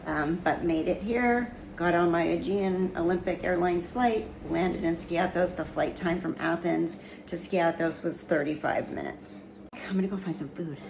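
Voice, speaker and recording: This is an American woman in her fifties with a somewhat low voice, chatting to her camera as she travels. She rambles and corrects herself mid-sentence in a loose, friendly way. Faint outdoor ambience sits under the recording. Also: normal speed, creaky, background noise. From United States. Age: 50s. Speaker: travel vlogger. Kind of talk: casual travel vlog. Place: outdoors and indoors on a Greek island.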